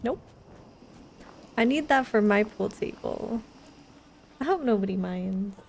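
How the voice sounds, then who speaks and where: Slow, gravelly-voiced woman streamer. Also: medium pitch, expressive, a little mellow, slight background noise. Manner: chatty, giggly, rambling. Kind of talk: casual gameplay commentary.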